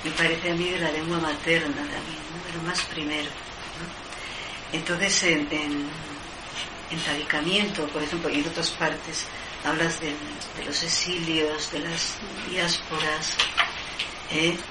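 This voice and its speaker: Woman, interviewer, low voice, halting, roomy echo. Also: little pitch movement. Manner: hesitant, many restarts. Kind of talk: literary interview. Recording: echoey room, noisy background.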